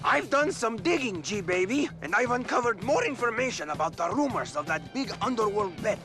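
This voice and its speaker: A man voicing a sarcastic talking bird who works as a sports co-commentator in a video game, with a lively cartoonish delivery. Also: high voice, moderate pace. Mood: eager. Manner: dry, sardonic, lively. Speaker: colour commentator. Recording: music under the voice. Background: music.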